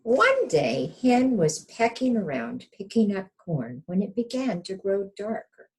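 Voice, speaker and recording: Slow, animated woman reading to young children. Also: medium pitch, poor audio. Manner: expressive, pausing to point things out to children. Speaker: kindergarten teacher reading aloud. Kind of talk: storybook read-aloud with questions to young children.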